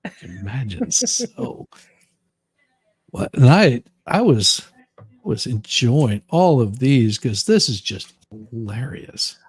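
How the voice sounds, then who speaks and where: A man hosts with a sardonic edge, moving between casual banter and reading letters aloud. His pitch sits in the middle and the sound is close and dry, with little room on it. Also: big pitch swings, slow, breathy edge, no echo. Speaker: host.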